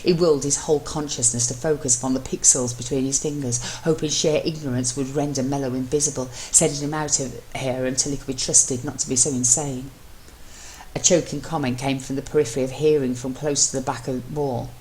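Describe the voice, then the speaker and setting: A woman's voice: rumbling low, fairly even intonation, brisk, warm tone. A woman reading fan fiction aloud as dramatic scripted narration, in an amateur recording. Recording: noisy amateur home recording.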